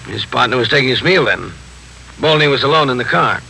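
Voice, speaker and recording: An actor playing a stern, methodical police captain in a 1950s radio drama, speaking in a measured, official and probing way. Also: male voice, medium pitch, expressive, creaky, sharp tone. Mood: probing, businesslike. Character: police captain narrating and questioning his men, stern, methodical. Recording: faintly noisy 1950s radio broadcast.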